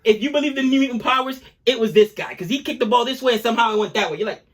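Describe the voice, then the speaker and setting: A man co-hosting a reaction video, chatting casually in an excitable, slangy way. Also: extremely high pitch, rapid-fire, slightly rough. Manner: excitable, slangy, calls people dude.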